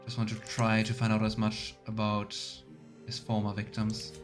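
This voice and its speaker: A man's voice: fairly low pitch, completely flat delivery, normal speed. A man doing casual let's play commentary, musing and thinking aloud, with music behind him. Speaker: video game streamer.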